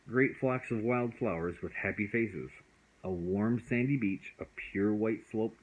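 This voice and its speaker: A man's voice: on the low side, husky, muffled recording. A man reading a bedtime story aloud as storyteller, expressively and with the odd stumble over words.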